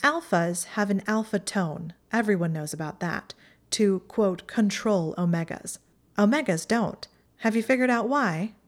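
A woman's voice: medium pitch, measured pace, pitched above the performer's own voice, lightly acted. A woman voicing a haughty, mocking young witch who talks down to others in a condescending tone. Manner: condescending, sneering.

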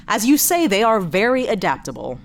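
A woman's voice: medium pitch, big pitch swings, brisk, gravelly. Female space captain, wry, sarcastic, no-nonsense, sci-fi audio drama, faint noise behind. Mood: cynical. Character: dry, cynical, practical female spaceship captain.

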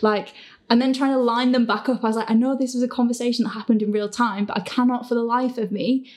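A woman's voice: on the high side, steady tone, fast, darkish tone. A woman hosting a casual group question-and-answer chat, prone to giggling as she talks. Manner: giggly, breaks into laughter while talking. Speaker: podcast Q&A host and show creator.

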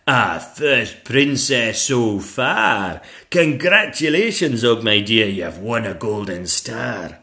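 A storybook dragon schoolmistress, acted out by a man, commanding and encouraging. She stretches out key words emphatically like a teacher before her class, and her pitch moves up and down a great deal. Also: sing-song, sharp tone, full character voice. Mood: proud, approving. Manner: emphatic, drawn-out schoolteacher delivery.

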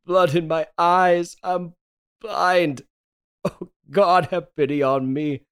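Woman acting a tormented, slow-speaking melodrama spouse. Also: lowish voice, fairly even intonation, clean tone. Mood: despairing. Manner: overwrought, melodramatic. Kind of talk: amateur table read of 1930s radio horror.

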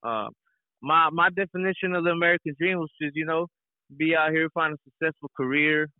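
A man's voice: a little high, steady tone, fairly quick, no room sound. A young man from Mexico who grew up in Texas, chatting casually as an interview guest and often saying "you know". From Mexico, raised in Houston, Texas. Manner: peppers talk with "you know". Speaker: guest, rapper and reggaeton singer. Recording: narrow, tinny phone line.

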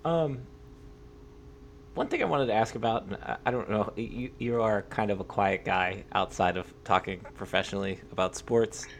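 A man's voice: on the low side, moderate pace, gravelly, slight background noise. A young man hosting a casual interview, informal and hesitant, circling his questions with repeated words. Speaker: podcast host, interviewer.

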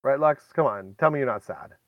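A man hosts a podcast in a loose, rambling, chatty way, sprinkling in folksy exclamations as he addresses his listeners. His voice sits at a middling pitch. The sound is close and dry, with a slightly dull, lo-fi muffle. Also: animated, brisk, no echo. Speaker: podcast host. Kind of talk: casual, rambling podcast chat.

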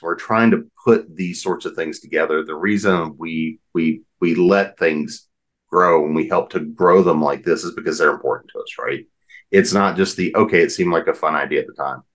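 Deep-voiced middle-aged male host. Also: fairly bright tone. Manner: conversational, thoughtful questioner. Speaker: podcast host. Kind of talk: casual interview conversation.

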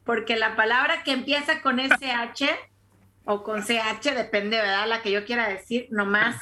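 Bright Mexican woman telling lively stories. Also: on the high side, moderate pace, a little hiss or hum. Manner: lively, laughing anecdotes. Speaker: podcast co-host. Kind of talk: casual chat.

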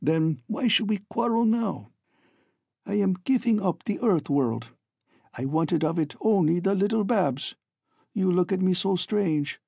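A man's voice: on the high side, expressive, measured pace, gravelly, dark tone, husky, no echo, heavily acted. A man voicing a falsely friendly, scheming hunchback villain in a story, oily and ironic, with stilted foreign-sounding phrasing. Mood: ironic good humor.

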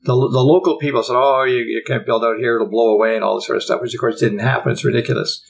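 Older canadian guy, gravelly, rambles and restarts a lot in a chat. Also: mid-range voice, fairly even intonation. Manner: rambling, halting, with frequent restarts. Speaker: podcast guest. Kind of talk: casual interview conversation.

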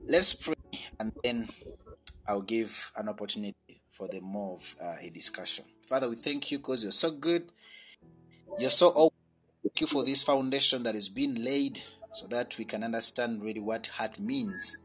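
Man, radio show host, bright tone, husky, emphatic and earnest, faint music behind. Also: medium pitch. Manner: earnest, slow and emphatic, with swells in volume. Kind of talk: preaching and prayer, then hosting a chat.

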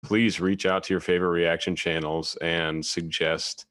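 A man's voice: low-pitched, level tone, normal speed, fairly bright tone. A man in his late twenties reading questions and chatting easily with bandmates, laughing often and restarting his sentences. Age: 20s. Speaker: group member leading a Q&A. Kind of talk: casual group chat.

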